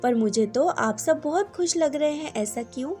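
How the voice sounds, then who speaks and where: A woman's voice: high-pitched, animated, moderate pace, a little mellow, soft background music. A woman storyteller voicing a kindly, curious elderly woman with an earnest, questioning manner. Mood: puzzled, curious.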